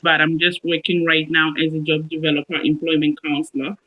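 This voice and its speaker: A woman's voice: low voice, level tone, fairly quick, narrow, tinny audio, slight background noise. A cheerful, upbeat woman from Zimbabwe introducing herself as a guest over a video call.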